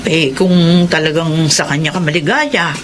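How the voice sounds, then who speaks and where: Lowish, expressive Filipino woman, caring confidante. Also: normal speed, slight background noise. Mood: accepting, concerned. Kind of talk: Filipino radio drama acting. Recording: loud music behind voice.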